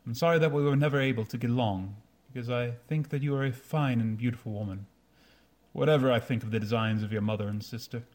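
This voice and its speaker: Man playing a proud, formal young gentleman. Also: mid-range voice, quick pace, natural voice. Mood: wounded, formal. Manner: stiff, formal, courteous. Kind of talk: radio drama acting.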